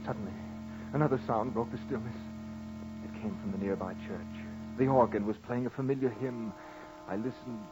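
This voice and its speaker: A man's voice: medium pitch, steady tone, lightly acted. A man acting a fevered, guilt-ridden radio murderer. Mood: tense, brooding. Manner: melodramatic, fevered, dramatic pauses and repetition. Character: guilt-ridden, panicked male murderer confessing his crime. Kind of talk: 1940s radio horror drama narration, first person. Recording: muffled vintage radio recording with music.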